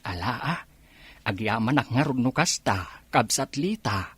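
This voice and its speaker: A long-suffering debt collector from the village in an Ilocano radio drama. His voice is husky and slow, complaining and pleading, with an Ilocano accent. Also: medium pitch, lively intonation, a bit bright, dry recording. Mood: resigned.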